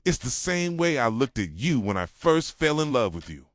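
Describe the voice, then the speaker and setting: A man playing a jealous, possessive yandere boyfriend in an intimate ASMR roleplay, speaking softly and tenderly and slipping into whispers. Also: very animated, normal speed, no room sound, studio quality, natural voice. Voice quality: soft, low, slipping into whispers. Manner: tender, brooding, confessional.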